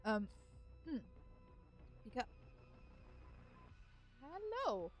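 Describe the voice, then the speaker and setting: A young woman with a German accent chats through gaming commentary, her fairly high voice bouncing with lots of melody. She explains things casually with plenty of fillers, and faint game music plays underneath. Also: very animated, drawn-out words. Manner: chatty explainer, many fillers. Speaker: gaming streamer.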